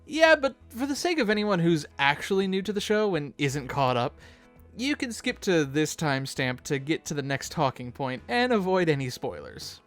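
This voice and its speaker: A man co-hosting a chatty fan commentary on an animated episode, bantering in an animated way. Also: on the high side, moderate pace, faint music behind. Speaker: co-host of fan commentary video.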